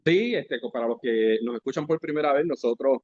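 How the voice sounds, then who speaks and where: A man's voice: medium pitch, a little mellow, no echo. Chatty man hosting a podcast. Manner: conversational, halting, full of fillers and restarts. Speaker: podcast host. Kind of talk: casual interview hosting. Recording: dry, slightly dull podcast audio.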